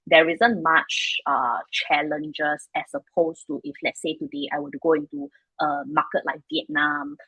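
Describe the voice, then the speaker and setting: A woman's voice: sits low, fairly even intonation, measured pace, hardly any pauses, pushed and bright, breathy edge, no echo, poor audio. A woman in her thirties from Singapore with a Singaporean English accent, a startup founder answering interview questions frankly, in a loose, chatty way full of fillers. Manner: frank, chatty, many fillers like "like" and "you know".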